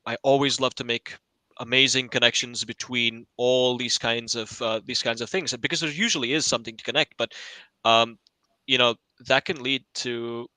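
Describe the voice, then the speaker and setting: Bright, forceful-toned man, halting and reflective. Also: medium pitch, smooth, no echo, rough recording. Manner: wordy, tentative, halting. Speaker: podcast panelist. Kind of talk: casual reflective explanation.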